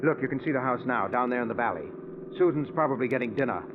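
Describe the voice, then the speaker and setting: An actor playing a skeptical, anguished middle-aged father in a 1940s radio suspense drama, with theatrical, emphatic delivery that turns halting when he is shaken. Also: medium pitch, noisy background, lightly acted. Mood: scornful disbelief. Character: skeptical, anguished father, stubborn and easily agitated. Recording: muffled vintage 1940s radio recording.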